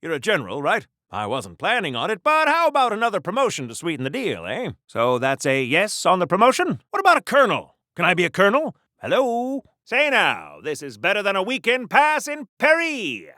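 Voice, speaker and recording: A man's voice: high voice, wildly swooping pitch, brisk, hardly any pauses, bright, forceful tone, dry recording, clean studio sound, exaggerated voice. An actor playing a bombastic patriotic 1940s American soldier superhero in a video game, theatrical and old-fashioned in his phrasing. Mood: eager, cheery. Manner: theatrical, declamatory, quaint old-time phrasing.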